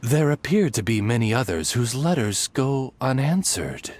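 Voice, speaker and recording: A man's voice: medium pitch, sing-song, a little slow, darkish tone. A man voicing a loyal, dry-witted raven familiar in a video game, with formal, elaborate, butler-like speech. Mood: politely admonishing.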